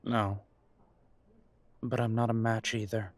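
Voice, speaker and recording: A man's voice: fairly low pitch, level tone, slow, no room sound. Bitter, sarcastic werewolf son played by a man, close and dry. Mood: firm, bitter. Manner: sarcastic, guarded, weary. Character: bitter, wounded adult werewolf son confronting his parents. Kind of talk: audio roleplay drama acting.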